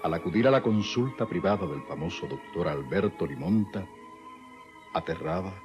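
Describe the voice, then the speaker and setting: A man's voice: deep voice, expressive, moderate pace. A man announcing an old radio drama in a solemn, formal, melodramatic way, reading scripted narration. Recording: dull archival radio recording with music. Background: music.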